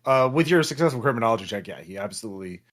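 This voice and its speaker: A man narrating as game master of a tabletop roleplaying game, in a halting, improvised way. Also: lowish voice, expressive, a bit bright.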